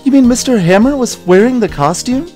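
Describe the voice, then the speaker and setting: A woman voicing a perky, curious, excitable young female legal assistant in a video game. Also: on the high side, fairly quick, faint music behind, close to an everyday voice. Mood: puzzled. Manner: excitable, wide-eyed questioning. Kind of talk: fan voiced visual novel game dub.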